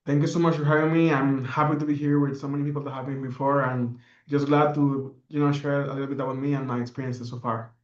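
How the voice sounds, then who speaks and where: A young man from the Dominican Republic speaks as a podcast guest, reflective and earnest and full of fillers. His voice sits at an everyday middle pitch. The sound is roomy and a little dull, as if recorded remotely. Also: level tone, fast talker, few pauses. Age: young adult. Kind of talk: interview answers.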